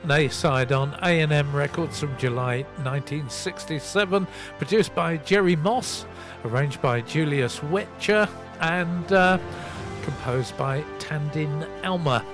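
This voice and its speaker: A man's voice: fairly high pitch, a little slow. A man hosting a casual soul music radio show, chatty and self-mocking as he reads out record details. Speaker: radio host and DJ.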